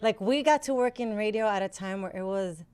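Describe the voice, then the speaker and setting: A woman's voice: mid-range voice, brisk, darkish tone, clear, resonant tone. A woman speaking as a podcast guest and former radio host, candid and conversational, with an earnest, reflective way of talking.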